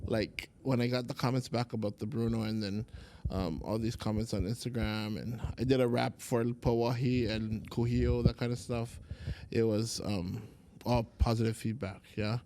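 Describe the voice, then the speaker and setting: A young man from Hawaii, a Hawaiian language teacher, chatting as a podcast guest in a relaxed, rambling way with lots of fillers. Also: mid-range voice, normal speed, hardly any pauses, a little hiss or hum. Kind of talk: casual interview chat.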